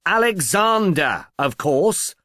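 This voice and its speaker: A man voicing an irritable, witty professor of English in an audiobook, brisk and dryly emphatic. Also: on the high side, big pitch swings, pushed and bright, no room sound, clean studio sound, pitched above the performer's own voice, exaggerated voice. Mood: exasperated. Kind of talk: audiobook character dialogue.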